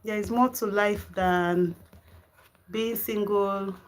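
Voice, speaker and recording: A woman's voice: medium pitch, steady tone, slow pace. A Nigerian woman hosting a gardening live stream, chatting casually with viewers and answering their comments. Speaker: gardening channel host. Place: home garden and kitchen.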